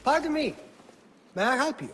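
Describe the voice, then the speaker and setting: A man's voice: very high-pitched, lively intonation, moderate pace, fairly bright tone, soft background music. An actor playing a polite, scholarly man with a devious, cowardly streak in a video game. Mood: courteous, probing.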